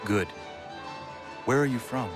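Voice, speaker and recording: An actor playing a stoic, earnest young male assassin leader in a video game, speaking in a formal, measured, terse way. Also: mid-range voice, music in the background, natural voice. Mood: calm.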